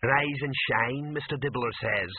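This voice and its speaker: A man voicing a slow, dim-witted but good-natured male troll henchman in an audiobook, speaking simply and repetitively. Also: medium pitch, husky, no echo, narrow, tinny audio. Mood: dutiful, plodding.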